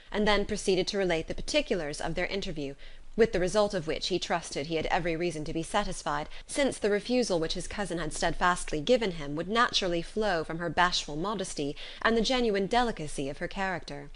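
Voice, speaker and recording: A woman reading literary narration as an audiobook, measured and articulate. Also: on the low side, lively intonation. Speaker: audiobook reader.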